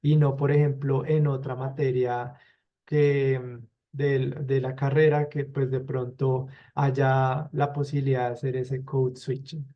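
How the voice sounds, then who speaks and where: A man, a university teacher, giving academic feedback on a student's project with many fillers and pauses. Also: mid-range voice, flat delivery, muffled recording.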